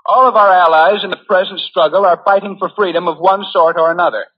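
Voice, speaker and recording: A man's voice: high voice, steady tone, brisk, husky. An American man delivering an earnest, formal prepared speech as a war correspondent in a 1940s radio address. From United States. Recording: muffled, lo-fi 1940s radio recording.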